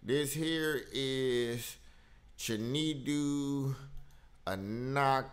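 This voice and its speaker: A man's voice: mid-range voice, drawn-out words. An American man hosting a podcast, chatting casually as he reacts to videos. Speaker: podcast host.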